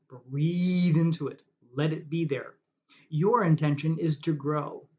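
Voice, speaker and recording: Man leading a calm guided meditation. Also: fairly high pitch. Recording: dull lo-fi recording.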